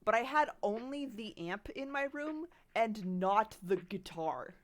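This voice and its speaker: A woman's voice: mid-range voice, expressive, measured pace, a little hiss or hum. A sniffly young woman streamer chatting casually from her bedroom, rambling and laughing often as she reads chat while she draws. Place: home bedroom.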